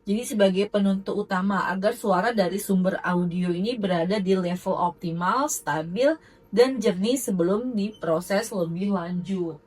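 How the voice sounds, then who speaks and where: A woman's voice: medium pitch, moderate pace, darkish tone, slightly rough, low-quality recording. A woman narrating a product demo in an explanatory, instructional way. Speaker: product presenter.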